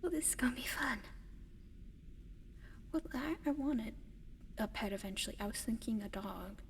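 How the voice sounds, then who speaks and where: Woman as a whispering, gentle alien in long reverb. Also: on the high side, lively intonation. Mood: excited, gentle. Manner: soft, hesitant, breathy whispering with halting pauses. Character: gentle, eager giant female alien adopting a human pet. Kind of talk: whispered ASMR roleplay to the listener. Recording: long reverb, audible background noise.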